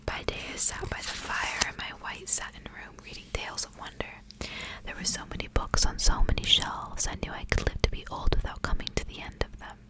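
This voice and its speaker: A woman speaking in a soft, breathy, intimate whisper, as an ASMR host. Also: fairly quick, poor audio. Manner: soft, hushed, intimate delivery. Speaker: ASMR host reading aloud. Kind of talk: whispered ASMR storybook reading with casual asides.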